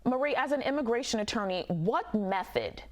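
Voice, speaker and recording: A woman news host interviewing a guest in a measured, probing way. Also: on the high side, animated.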